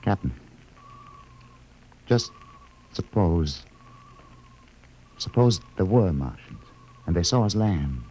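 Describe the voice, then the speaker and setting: An actor playing a grim, guarded scientist and camp survivor in 1950s radio drama style, speaking deliberately and haltingly. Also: male voice, low-pitched, very animated, warm tone, slight background noise, exaggerated voice, low-quality recording. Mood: brooding suspicion. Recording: old radio recording with music underneath.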